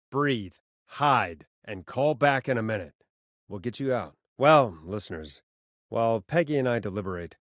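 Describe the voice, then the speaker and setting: A man's voice: medium pitch, no echo, close to an everyday voice. Earnest, wry late-night radio DJ, close dry sound acted by a man. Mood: smooth on-air patter. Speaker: radio host. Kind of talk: video game voice acting, conversational.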